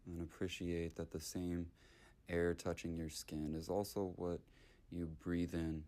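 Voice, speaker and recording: A man leading a guided meditation in short, unhurried, soothing phrases with long gaps between them. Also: deep voice, level tone, mellow.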